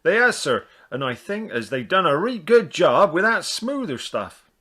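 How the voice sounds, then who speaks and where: A man's voice: high-pitched, very animated, moderate pace, sharp tone, heavily acted. An actor playing a cheeky, cheerful quarry workman with a broad in an audio drama, eager and rustic. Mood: eager, obliging.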